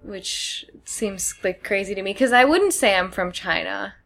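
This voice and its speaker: A woman's voice: medium pitch, measured pace, gravelly, a bit bright. A woman co-hosting a podcast in casual, conversational discussion. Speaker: podcast co-host.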